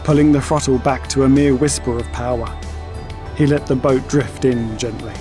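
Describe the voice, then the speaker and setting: A male narrator reads a dramatic audio story. His voice sits at a middle pitch. Music plays softly behind him. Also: steady tone, normal speed.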